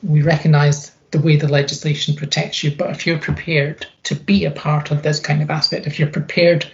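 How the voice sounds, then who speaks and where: A woman with a deep, gravelly voice, recounting her experiences in an emphatic, conversational interview style. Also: little pitch movement, a little fast. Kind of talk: reflective personal account in an interview. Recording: dull, muffled lo-fi sound.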